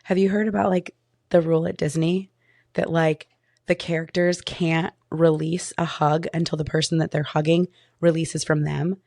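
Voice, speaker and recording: A young woman co-host with a low voice and a gravelly edge. She jokes around in casual podcast chat, recorded dry and close. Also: level tone, moderate pace, darkish tone, no echo. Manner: playful, joking.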